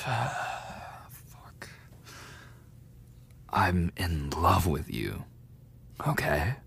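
A young man playing a lovesick, defensive school bully in a boyfriend ASMR roleplay, speaking haltingly in a husky, breathy voice. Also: very deep voice, exaggerated voice. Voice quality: husky, breathy, often dropping to a whisper. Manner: halting, swearing, coughing between phrases.

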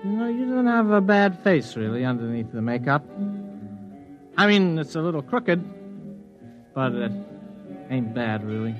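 A man's voice: fairly high pitch, very animated, measured pace, pauses often. A boozy drifter from an old science fiction radio play. He is a man who rambles loosely and hesitates as he goes. The recording is dull and vintage, with faint music behind. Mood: tipsy, friendly. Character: rambling, tipsy barfly man, sci-fi drifter. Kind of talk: old-time radio drama acting.

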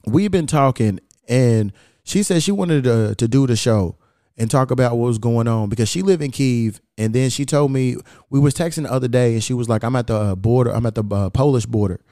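A man's voice: medium pitch, fairly quick, dark tone, no echo, studio quality. A man hosting a podcast, telling stories in a slangy, profane, laid-back way. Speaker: podcast host. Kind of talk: casual podcast conversation.

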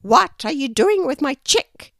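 A woman voicing a fierce, protective mother kookaburra in a storybook, loud and squawking. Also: very high-pitched, moderate pace, dry recording, clean studio sound, pitched above the performer's own voice. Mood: angry.

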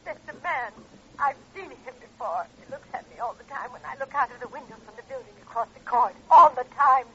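A woman's voice: high-pitched, fairly quick, pushed and bright. An actress playing a frightened, hysterical woman pleading for help over the telephone in an old radio drama. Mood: terrified pleading. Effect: telephone filter. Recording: dull old radio recording, telephone line.

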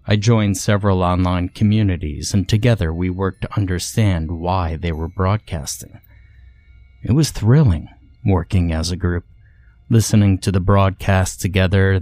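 A man with a low-pitched, dark and mellow voice narrates a creepypasta tale in the first person. His delivery is measured and deliberate, with a storyteller's sense of drama. Music plays softly behind him. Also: dry recording.

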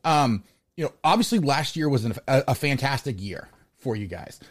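A man talks casually as a sports podcast host. He rambles, doubling back on words and restarting thoughts. His voice sits at an average pitch in a dry, close sound. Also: lively intonation, normal speed, dry recording.

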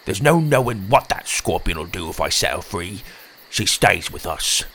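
Man voicing a croaky, resigned dark fairy warrior. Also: low voice, sing-song, moderate pace, slight background noise, pitched below the performer's own voice, exaggerated voice. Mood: firm. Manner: quiet, curt, commanding. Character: gruff conflicted male dark fairy warrior, slimy, resigned. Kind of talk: fantasy storybook character voice.

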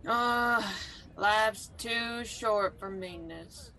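Woman playing a sharp, crusty, grudging woman. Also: a little high, extremely slow, heavily acted, poor audio. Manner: terse, dry, grumbling. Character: brittle, crusty, grudging woman truck exhibitor.

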